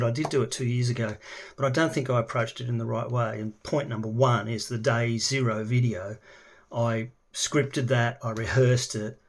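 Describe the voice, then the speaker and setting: A middle-aged man with an Australian accent chats loosely about himself, stopping often to hunt for words and filling the gaps with ums. His voice sits at a middling pitch and carries a gravelly rasp at the ends of phrases. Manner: hesitant, many ums and restarts. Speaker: language learner. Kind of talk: casual self-introduction video.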